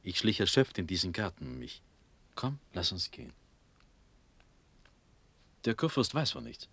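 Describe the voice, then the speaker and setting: An actor playing a dazed, dreamy young Prussian prince in a verse radio drama, fervent and halting, with breathy speech drifting into whispers. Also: male voice, low-pitched, big pitch swings, sharp tone, full character voice. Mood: dreamy, searching. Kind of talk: classical German stage verse radio drama.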